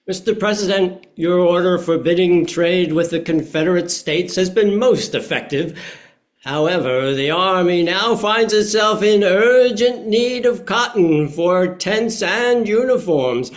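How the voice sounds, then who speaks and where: A man's voice: high voice, unhurried. A man reading the part of a formal, earnest 1860s Treasury Secretary in a historical play.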